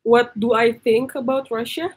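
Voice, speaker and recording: A woman's voice: fairly high pitch, moderate pace, faint music behind. A young woman from Indonesia chatting cheerfully over a video call, laughing often. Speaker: video chat stranger. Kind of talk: casual chat. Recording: noisy video call audio.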